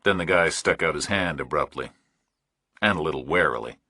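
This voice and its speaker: A man's voice: rumbling low, animated, a little fast, creaky, bright, forceful tone, husky, no room sound, studio quality. A man narrating a thriller audiobook in a scripted, storytelling style.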